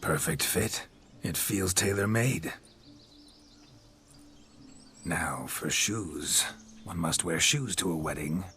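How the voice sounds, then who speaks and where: An actor playing a monster hunter possessed by a pompous rakish ghost in a video game, speaking in a florid, courtly, teasing manner. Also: male voice, sits low, takes it slowly, sharp tone, slight background noise, heavily acted. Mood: smug, admiring. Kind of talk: video game character acting. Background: music.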